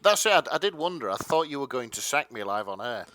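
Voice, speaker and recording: A man with a northern English accent co-hosting a casual podcast, loud and jokey. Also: mid-range voice, very animated, quick pace, dry recording. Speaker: podcast co-host. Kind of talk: casual banter. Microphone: headset.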